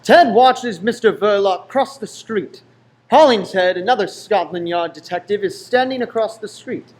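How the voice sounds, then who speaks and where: A man serves as narrator of a radio play. His voice is high-pitched, and he delivers scripted storytelling narration. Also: moderate pace.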